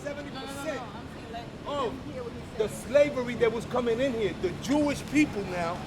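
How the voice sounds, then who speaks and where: A man's voice: extremely high pitch, normal speed. A man from Puerto Rico arguing at a street protest, rambling and stumbling over his words. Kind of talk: heated street argument. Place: outdoors on a city street. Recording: loud crowd and music outdoors. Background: crowd and music.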